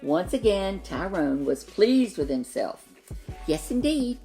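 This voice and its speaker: A woman storyteller giving an expressive reading of a children's story. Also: medium pitch, slow pace, soft background music.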